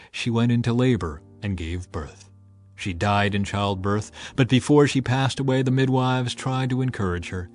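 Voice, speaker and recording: A man narrating a dramatized scripture audiobook in a solemn, dramatic storytelling manner. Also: mid-range voice.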